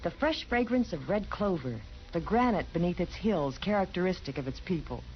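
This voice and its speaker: A woman's voice: on the low side, expressive, normal speed. A woman announcer reading scripted narration on an old radio broadcast. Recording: dull, faintly noisy vintage radio recording.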